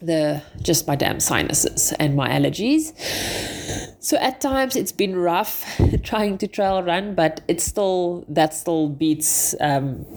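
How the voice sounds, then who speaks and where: A woman's voice: fairly low pitch, lively intonation, slow pace, a little mellow, slight background noise. A South African woman in her thirties with a blocked, stuffy nose, chatting in a meandering, self-correcting way as a podcast host. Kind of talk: casual personal monologue.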